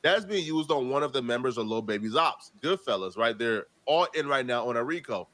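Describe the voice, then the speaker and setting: A man hosting a reaction show, giving casual, animated commentary in slangy street talk. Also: mid-range voice, brisk. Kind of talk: casual commentary on court news.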